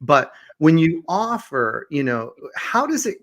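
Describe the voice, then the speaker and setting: A man's voice: on the high side, sing-song, slow pace, a bit bright. A man hosting a conversational interview, affirming his guest and repeating phrases as he works toward his questions. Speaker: podcast host.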